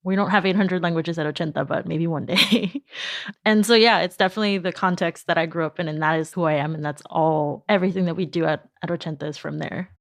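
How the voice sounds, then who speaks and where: A woman from New York whose first language is Spanish answers interview questions. She tells stories with animation and laughs often. Her voice sits slightly low and is recorded close and dry. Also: steady tone, a little fast, dry recording. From New York, United States. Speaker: podcast company founder, guest.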